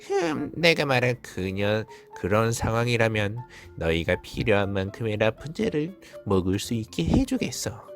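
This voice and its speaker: A man voicing an angry, scheming witch in a fairy-tale storybook, with a theatrical, drawn-out, scolding delivery. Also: on the low side, wildly swooping pitch, faint music behind, voice pushed up. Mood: scheming, restrained. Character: angry, cunning old witch, female, threatening and bargaining.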